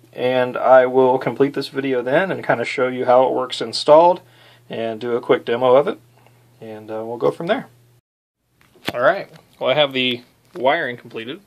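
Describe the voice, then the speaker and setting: A man's voice: medium pitch, slight background noise. A man talking casually through a hands-on tutorial, unscripted and lightly self-deprecating. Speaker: DIY video maker demonstrating an amp modification.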